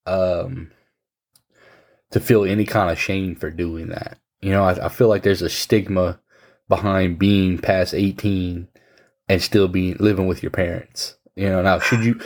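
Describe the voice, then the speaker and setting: A man's voice: deep voice, a little mellow. A man in his thirties in casual conversation, giving frank, blunt advice and swearing now and then. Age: 30s. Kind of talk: casual chat.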